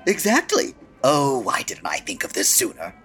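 A woman acting as a flustered, excitable store manager. The voice is very low and husky but swoops dramatically, fretting its way through a comic radio play. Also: moderate pace, faint music behind, full character voice. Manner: fretful, flustered. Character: fretful, excitable store manager, afraid of the boss.

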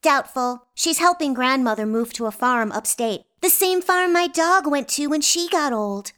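A woman's voice: sits high, lively intonation, moderate pace, fairly bright tone. A woman playing a precocious little girl in a comic radio play, dry and world-weary. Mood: deadpan.

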